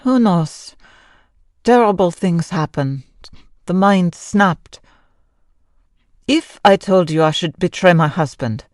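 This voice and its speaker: A woman playing a grieving, sorrowful Frenchwoman in an old-time radio drama, speaking formally and haltingly. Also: fairly low pitch, expressive, a little mellow, no room sound, clean studio sound. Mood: grave, pleading. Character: grieving Frenchwoman, wife of a mad composer, sorrowful.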